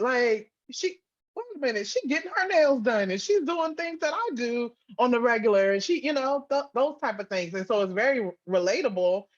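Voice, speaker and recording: A woman chatting casually as an interview guest, speaking in an animated, informal way peppered with "like". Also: medium pitch, dull audio. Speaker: guest, audio drama writer and producer.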